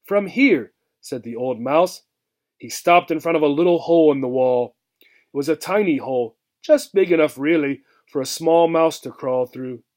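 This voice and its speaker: A man with a slightly high voice reads a children's book aloud. His narration is expressive, in the style of a teacher telling a story to young listeners. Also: moderate pace. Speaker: reader, teacher.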